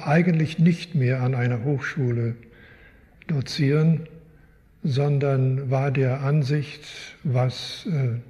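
An older man from Canada, a guest Bible teacher, answering interview questions in a slow, thoughtful, wry way. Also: mid-range voice, dark tone, lo-fi sound. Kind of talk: live interview in a church. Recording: long hall reverb.

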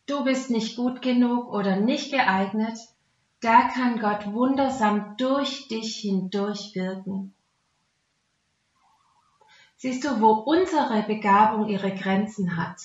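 A woman with a Swabian accent gives an earnest, conversational devotional talk. Her voice sits fairly high. The recording is quite echoey and slightly dull, lacking crispness in the sound. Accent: Swabian German. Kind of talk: devotional talk mixing Bible teaching and personal testimony. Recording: roomy echo, dull muffled sound.

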